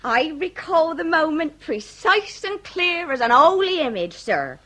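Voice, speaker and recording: High-voiced, melodramatic Victorian widow. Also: female voice, very animated, measured pace, fairly bright tone, full character voice. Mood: garrulous reminiscing. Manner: theatrical lamenting, folksy mangled grand words. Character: melodramatic, garrulous working-class Victorian widow. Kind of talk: comic period radio drama.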